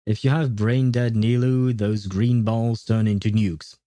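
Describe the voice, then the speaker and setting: A man livestreaming a game, chatting casually with viewers and explaining things step by step with hesitant pauses. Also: lowish voice, little pitch movement, mellow, no echo. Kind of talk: casual gaming livestream chat, answering viewer questions.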